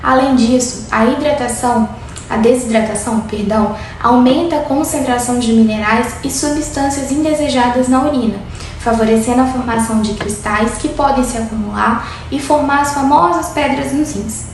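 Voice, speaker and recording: A woman in her twenties with a Brazilian Portuguese accent, a doctor calmly explaining medical topics in an interview. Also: on the high side, normal speed. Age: 20s. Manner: steady, clinical, explanatory. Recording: very echoey room with faint noise.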